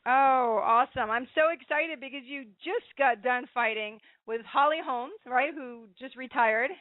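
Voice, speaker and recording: A woman's voice: high voice, a little slow, dry recording. A woman hosting a boxing radio show, chatty and upbeat as she interviews guests. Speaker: host. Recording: dry, phone-like lo-fi sound.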